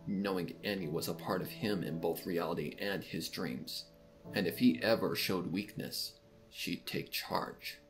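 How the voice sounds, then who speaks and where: A man reading scripted third-person fan fiction as the narrator of a dark mystery story. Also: sits low, unhurried, faint music behind, low-quality recording.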